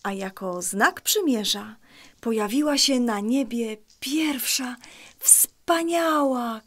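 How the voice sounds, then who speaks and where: Storytelling mother, patient, reassuring, somewhat high-pitched, dry studio sound, children's audio drama. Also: slow, fairly bright tone, dry recording. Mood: reverent, assured. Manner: clear explanatory storytelling, reassuring.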